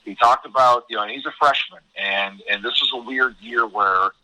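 A man's voice: medium pitch, normal speed, pushed and bright, low-quality recording. An American man giving earnest, emphatic sports analysis in a conversational style as a guest commentator, often repeating words as he goes. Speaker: college hockey commentator as podcast guest.

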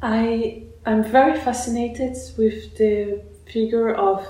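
A woman born in Russia, a guest musician being interviewed, speaking haltingly with many fillers and restarts. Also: a little high, fairly even intonation, dark tone. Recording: roomy echoey room.

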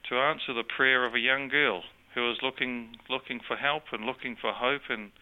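Man, New Zealand accent, earnest storyteller, smooth voice, tinny phone-like sound. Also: mid-range voice, fairly even intonation, normal speed. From born in Australia, grew up in New Zealand. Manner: earnest storytelling, frequent restarts. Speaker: interview guest. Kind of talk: faith testimony in conversation.

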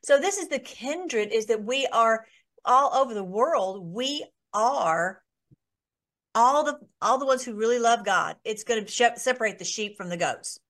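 A woman's voice: fairly high pitch, expressive, measured pace, fairly bright tone. A woman hosting an informal online Bible study, talking in a rambling way with many restarts and fillers.

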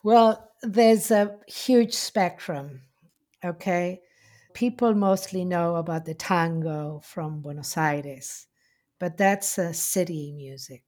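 A middle-aged woman with an Argentine Spanish accent, telling her life story in a slow, heartfelt way with many pauses. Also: lowish voice, darkish tone. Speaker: interviewee. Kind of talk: reflective personal storytelling.

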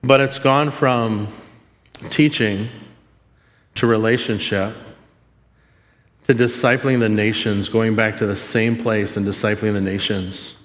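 A man's voice: on the low side, steady tone, a little slow, pauses often. A man giving a sermon, telling a personal story in an earnest, self-deprecating way. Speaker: preacher. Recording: long hall reverb.

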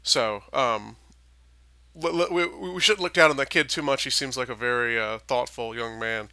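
Late-twenties male co-host from Minnesota, dry wit, gravelly and slightly hoarse, dry close mic. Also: medium pitch, moderate pace, dry recording. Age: late 20s. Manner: wry, deadpan humor. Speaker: podcast co-host. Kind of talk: casual chat.

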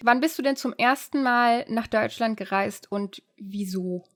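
A woman's voice: on the high side, unhurried, a bit bright. A woman hosting an interview, chatty and explanatory, often laughing lightly. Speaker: interview host, language teacher. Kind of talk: casual interview conversation.

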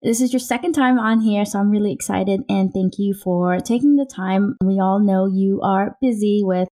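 A woman's voice: medium pitch, moderate pace, mellow. A woman hosting a podcast, chatting in a casual, upbeat way and often restarting her sentences. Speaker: podcast host. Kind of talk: casual interview chat.

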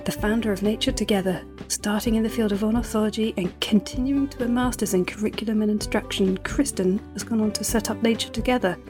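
A woman hosting an interview podcast, warm and enthusiastic, quick with praise. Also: medium pitch, fairly even intonation, over music. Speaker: podcast host.

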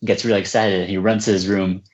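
A reserved, soft-spoken man from the United States, answering questions about his books in a casual interview with many fillers and restarts. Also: low voice, little pitch movement, fast, dull audio. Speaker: children's book author, guest.

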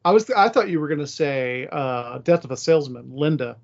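A man's voice: fairly high pitch, lively intonation, a little fast, vocal fry. A man hosting a podcast in casual, conversational discussion, plain-spoken, recorded on slightly dull, lo-fi audio. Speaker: podcast host. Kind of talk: casual chat about a radio drama.

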